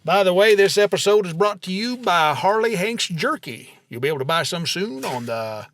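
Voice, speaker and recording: A man's voice: high-pitched, normal speed, a little hiss or hum. A man playing a laconic older country fella on a comedy podcast, simple and matter-of-fact. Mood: teasing, dry. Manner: folksy, deadpan. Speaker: podcast co-host. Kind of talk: comedic podcast banter in character.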